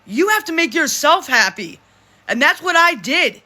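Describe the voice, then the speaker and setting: A vlogger in their thirties talks straight to camera in a casual monologue. They say they have a deep voice, and they speak emphatically, often repeating and restarting phrases. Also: sing-song, normal speed, pushed and bright. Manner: speaks in a self-described deep voice.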